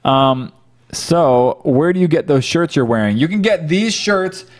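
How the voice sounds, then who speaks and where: A man's voice: fairly high pitch, lively intonation, measured pace. A man, chatting casually with viewers as a livestream host into a close desk microphone. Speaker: live stream host. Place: home office room, indoors. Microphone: close desk microphone on boom arm.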